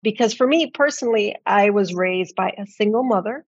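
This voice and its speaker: A middle-aged American woman talks as an interview guest. She is articulate and reflective, laying out her thoughts in a conversational way. Her pitch sits in the middle range for a woman. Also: fairly quick, husky, dry recording, muffled recording. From New York City, United States. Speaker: podcast guest, magazine editor.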